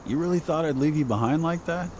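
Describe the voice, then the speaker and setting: A man's voice: on the high side, quick pace, background noise, natural voice. A man playing a loyal, wry friend in a video game, talking in a casual way. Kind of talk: video game cutscene acting.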